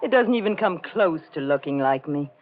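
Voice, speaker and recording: An actress playing a scornful, fed-up, cold-hearted wife with a haughty, cutting delivery in 1940s radio melodrama style. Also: female voice, sits low, animated, brisk. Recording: dull, faintly noisy old radio recording.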